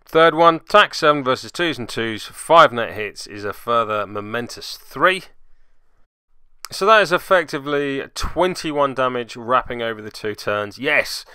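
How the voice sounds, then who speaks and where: Bright, clear-voiced man giving game commentary. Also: mid-range voice, lively intonation, a little slow, no room sound, clean studio sound. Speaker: tabletop game player and commentator. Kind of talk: voice-over play-by-play commentary of a miniatures game.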